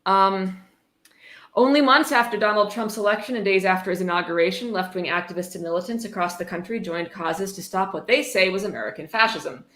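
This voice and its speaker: A woman's voice: mid-range voice, a little fast, fairly bright tone. Female livestream host, mid-range voice, irreverent and sardonic, sweary, casual read-and-riff delivery, echoey room. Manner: sarcastic, irreverent, swearing freely. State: tipsy. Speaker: livestream host reading a book aloud. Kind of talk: casual livestream commentary with read-aloud passages. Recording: roomy, echoey room sound.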